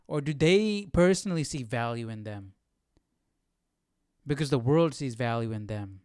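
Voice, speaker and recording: A man with a smooth, clear, mid-pitched voice gives casual study commentary. He puts questions to himself and reasons aloud, in a dry, close sound. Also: darkish tone, no room sound. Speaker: Bible study host.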